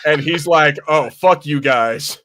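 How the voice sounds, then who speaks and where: A man co-hosting a podcast, talking through movies casually in a halting, conversational way with some swearing. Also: fairly high pitch, a bit bright, dry recording. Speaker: podcast co-host. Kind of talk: casual movie review chat.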